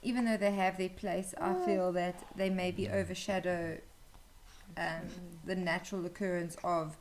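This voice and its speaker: A woman's voice: on the low side, little pitch movement, moderate pace. A young woman, chatting casually as a guest sharing her own experience. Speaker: interview guest, new mother. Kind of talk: casual conversational storytelling. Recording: clearly noisy room ambience.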